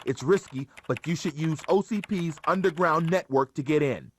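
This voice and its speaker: A man's voice: a little high, moderate pace, close to an everyday voice. A man playing an earnest ally in a video game, explaining a mission in a supportive manner. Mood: earnest concern. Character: earnest male ally briefing a cyborg cop. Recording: keyboard clicks, faint background noise.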